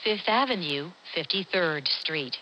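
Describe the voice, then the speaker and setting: A woman reading scripted train announcements as a transit announcer, in measured, separate phrases. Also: fairly low pitch, expressive, heavy background noise.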